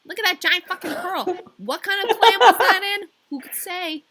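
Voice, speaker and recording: A woman's voice: very high-pitched, lively intonation, moderate pace, pushed and bright. A woman hosting a story podcast, reading aloud with playful, irreverent casual asides.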